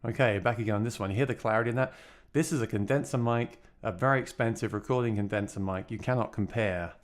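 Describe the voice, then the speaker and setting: A man with a deep voice, a gigging musician, chatting plainly through a gear review. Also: fast, dry recording, studio quality.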